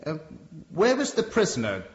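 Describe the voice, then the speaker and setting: A man's voice: fairly high pitch, huge pitch swings, normal speed. An actor playing a deferential, careful Victorian male barrister, formal and slightly hesitant, in a radio drama. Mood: formal, patient. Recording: echoey courtroom, slightly muffled.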